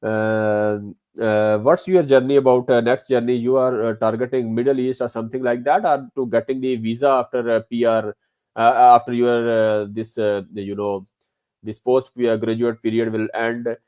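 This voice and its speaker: A man's voice: mid-range voice, little pitch movement, moderate pace, clear, resonant tone, dry recording, muffled recording. Polite male interview host. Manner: polite, hesitant with fillers. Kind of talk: interview hosting.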